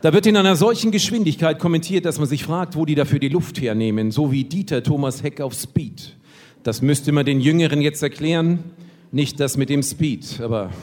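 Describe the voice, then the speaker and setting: Male slam poet, ironic punchline delivery, stage mic, long hall reverb. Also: on the high side. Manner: ironic, punchline-driven, lively. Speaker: poetry slammer. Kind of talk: comic poetry slam performance on stage. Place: stage at a poetry slam event. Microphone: stage microphone.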